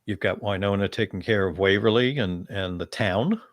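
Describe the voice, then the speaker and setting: Low-voiced man chatting on a panel. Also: normal speed. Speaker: fan panelist. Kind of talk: casual panel discussion, giving opinions.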